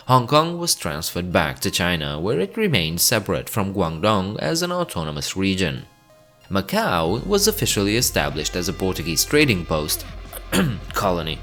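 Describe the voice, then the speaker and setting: A man's voice: sits low, fairly bright tone. A man narrating an educational video from a script, breaking into chatty asides to the viewer between facts. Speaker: channel host.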